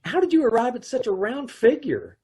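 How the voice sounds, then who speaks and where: Man as exasperated husband-narrator, husky, lively delivery; 1930s radio comedy. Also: very high voice, brisk, full character voice. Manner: exasperated, wry. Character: put-upon husband, adman Mister Ace. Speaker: narrator of the story. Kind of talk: amateur old-time radio play reading.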